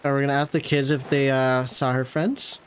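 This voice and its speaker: A man's voice: mid-range voice, flat delivery, brisk, slight background noise. A man doing casual gaming commentary, muttering his reactions as he plays. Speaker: gaming streamer. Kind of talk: casual game playthrough commentary.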